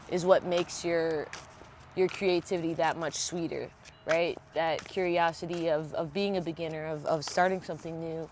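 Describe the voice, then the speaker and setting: Casual tarot vlogger, congested, mid pitch, laid-back, swears freely, faint hum of noise behind. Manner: casual, blunt and profane. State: congested from a sinus infection. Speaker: tarot reader. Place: at home.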